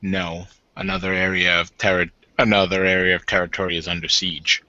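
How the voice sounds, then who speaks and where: A deep, bright-edged warrior leader played by a man in a tabletop game. He speaks formally and deliberately, stopping to restate himself. The close, dry sound is slightly dulled. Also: level tone, no room sound, muffled recording. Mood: grave. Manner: halting, measured, formal phrasing. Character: gruff werewolf warden, formal, deliberate, battle-minded leader.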